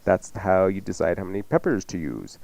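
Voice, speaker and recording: A man's voice: low-pitched, expressive, a little fast, gravelly, darkish tone, dry recording, highs cut off. A man working as a radio chef, giving recipes in a casual, folksy, joking way. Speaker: chef and show regular. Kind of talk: casual chatty recipe instruction.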